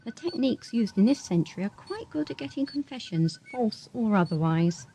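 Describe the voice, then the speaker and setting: A woman playing a brisk, sardonic space station doctor in an audio drama, dry and assured. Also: on the high side, very animated, warm tone, low-quality recording. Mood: stern. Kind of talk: radio drama acting. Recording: hissy amateur 1980s audio drama tape.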